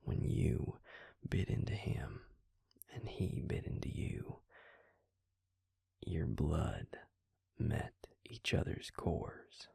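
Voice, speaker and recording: A man playing a patient, grave vampire in an intimate audio roleplay, speaking husky, breathy and low, with hesitant, deliberate pauses. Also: expressive, a little mellow, dry recording, whispered, clean studio sound, exaggerated voice. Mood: grave, explaining. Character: male vampire, patient, grave, quietly explaining lore.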